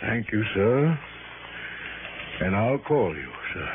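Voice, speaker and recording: A man's voice: lowish voice, sing-song, takes it slowly. A man playing a bumbling, deferential police sergeant in a 1960s radio drama, hesitant, apologetic and folksy. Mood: sheepish, apologetic. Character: bumbling, deferential male police sergeant, sheepish and slow-witted. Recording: dull, noisy old radio recording.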